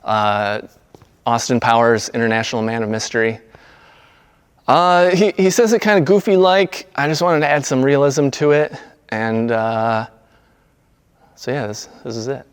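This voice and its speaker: A man speaking in a low-key, halting, naturalistic way, like an amateur actor doing a monologue reading. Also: fairly even intonation, clear, resonant tone. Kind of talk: casual intro, then understated monologue reading. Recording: long echoing reverb on the voice.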